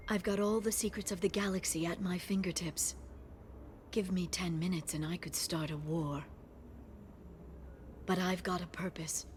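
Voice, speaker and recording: A woman playing a gentle, scholarly alien information broker in a video game, speaking softly and earnestly. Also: fairly low pitch, a little hiss or hum, natural voice.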